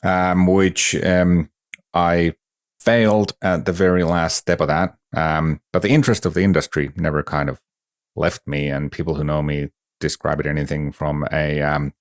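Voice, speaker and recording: A middle-aged Finnish man with an extremely low voice, answering a podcast interviewer. He sounds dry and matter-of-fact, with a self-deprecating edge. The sound is close and dead, with no room around it. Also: little pitch movement, normal speed, no room sound. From Finland. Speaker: guest.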